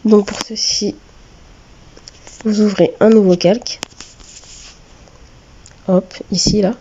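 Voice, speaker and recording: A woman with a cold giving a step-by-step drawing tutorial, talking hesitantly with many filler pauses. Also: mid-range voice, fairly even intonation, mellow, lo-fi sound, a little hiss or hum. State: has a cold. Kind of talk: screen-recorded step-by-step tutorial.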